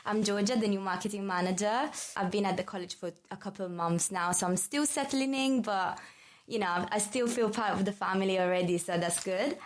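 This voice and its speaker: A woman's voice: mid-range voice, a little fast, a little hiss or hum. A woman in her twenties from Italy with an Italian accent, chatting casually on a podcast as a college marketing manager, friendly and full of fillers. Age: 20s.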